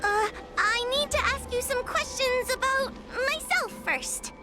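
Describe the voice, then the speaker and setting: A woman's voice: very high voice, measured pace, over loud music. An actress playing a timid, anxious but earnest young girl in an anime-style game, speaking hesitantly. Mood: timid, unsure.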